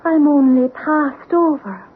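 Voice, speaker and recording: A woman's voice: high-pitched, takes it slowly. An actress playing the restless, pleading ghost of a dead mother in an old radio horror drama, plaintive and ethereal. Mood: mournful, pleading. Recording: noisy, muffled vintage radio recording.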